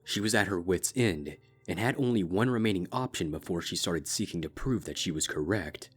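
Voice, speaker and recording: Deep male narrator reading a grim story, faint music bed. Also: expressive, a little fast, slightly rough. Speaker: fanfic reader. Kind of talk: scripted narration.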